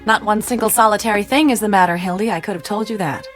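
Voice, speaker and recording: An actress playing a flamboyant, flirtatious movie-star aunt in a radio drama, gushing and theatrical with teasing endearments. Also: female voice, medium pitch, quick pace, soft background music, natural voice. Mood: breezy, dismissive.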